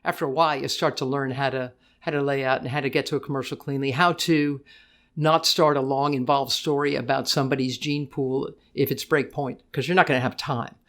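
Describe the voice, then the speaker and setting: An older woman with a deep voice, a guest in a casual interview, talking fast in bursts, often restarting her sentences and laughing easily. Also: fairly even intonation. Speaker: guest, tennis broadcaster.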